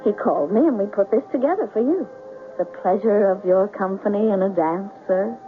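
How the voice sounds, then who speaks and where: A young sweetheart acted by a woman speaks tenderly and deliberately, reassuring with a light tease. Her voice sits at a medium pitch. Music plays clearly behind her on a muffled vintage radio drama recording. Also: natural voice. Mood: playful, welcoming. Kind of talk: 1970s radio drama acting.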